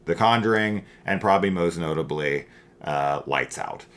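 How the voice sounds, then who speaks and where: Low-voiced man chatting about films. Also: moderate pace, fairly bright tone. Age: middle-aged. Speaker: film review host. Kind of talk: casual film review chat.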